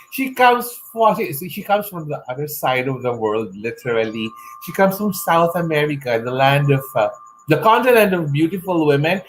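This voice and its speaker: A man's voice: on the high side, a little hiss or hum, low-quality recording. A middle-aged man hosting an online interview show over a video call, effusive and full of exclamations. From Philippines. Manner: gushing, effusive, exclaims a lot. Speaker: talk show host. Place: home room with shelves. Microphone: earphone headset mic on video call.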